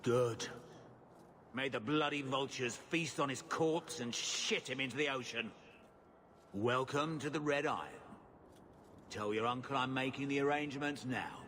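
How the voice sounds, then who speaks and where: A man's voice: mid-range voice, measured pace, long pauses, a bit bright, slight background noise, natural voice. A man voicing a crude, tough mercenary captain in a video game, giving blunt orders. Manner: coarse, blunt. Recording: game audio with music underneath.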